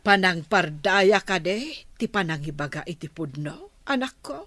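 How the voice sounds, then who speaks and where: A woman's voice: fairly low pitch, lively intonation, unhurried, fairly bright tone, no echo. A Filipino woman playing a probing, reproachful older mother in a radio drama, questioning her grown son pointedly.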